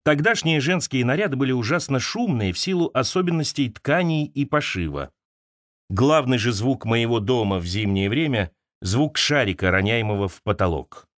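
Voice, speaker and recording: A man's voice: mid-range voice, expressive, moderate pace, no echo, clean studio sound. A man narrating an audiobook, reading a personal literary essay aloud as scripted narration.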